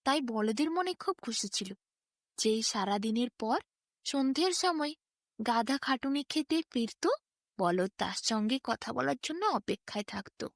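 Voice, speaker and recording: A woman narrates a scripted children's bedtime story as the storyteller. Her voice sits a little high, and the recording is very dry, with no room around it. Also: animated, measured pace, dry recording.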